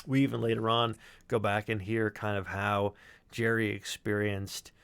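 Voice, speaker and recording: A man talking as a sound designer, explaining his work casually in an animated, discursive way. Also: lowish voice, normal speed, gravelly, clean tone.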